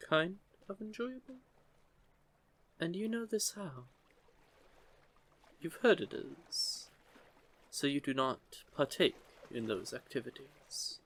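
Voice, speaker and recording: A man voicing a curious, teasing young merman in an intimate audio roleplay, with a gently formal, measured way of talking. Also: fairly high pitch, slightly rough, exaggerated voice. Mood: playful teasing. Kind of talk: scripted audio roleplay, intimate one-sided conversation.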